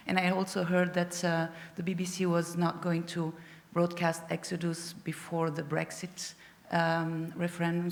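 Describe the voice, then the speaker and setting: A woman's voice: sits low, creaky. A woman in the audience asks a question, hesitating and searching for her words. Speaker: audience member. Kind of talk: question from the floor. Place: large panel hall, handheld microphone passed around. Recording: echoey hall with long reverb.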